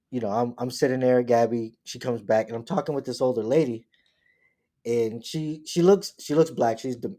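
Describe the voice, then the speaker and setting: A man co-hosting a casual podcast, telling anecdotes with animated bursts, laughing and swearing playfully. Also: medium pitch. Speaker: podcast co-host. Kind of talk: casual storytelling chat.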